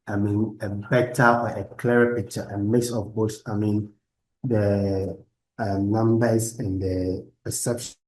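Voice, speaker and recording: Man, university lecturer, online class, laptop mic, halting delivery, lowish voice. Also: flat delivery, a little mellow, low-quality recording. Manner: halting, restarts and fillers while explaining. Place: at a laptop on a video call. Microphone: laptop microphone.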